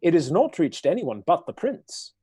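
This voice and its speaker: A commanding villainous earl speaks in a dark, mellow voice whose pitch swoops widely. He is smooth, cold and condescending, a schemer in a radio play. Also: big pitch swings, moderate pace. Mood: scheming.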